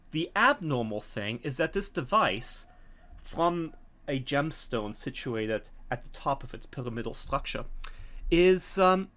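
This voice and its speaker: A middle-aged man narrating a tabletop horror game as game master, deliberate and often pausing, on a home video call. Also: a little high, fairly bright tone.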